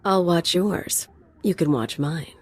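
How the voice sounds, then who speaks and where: Video game femme enforcer: deep for a woman, husky, swaggering, flirtatious, menacing. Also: takes it slowly. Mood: teasing. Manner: sultry, taunting. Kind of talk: video game character acting.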